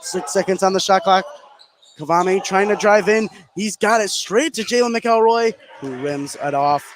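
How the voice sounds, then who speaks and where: A man's voice: sits high, moderate pace, slight background noise. An American man doing live play-by-play basketball commentary on radio.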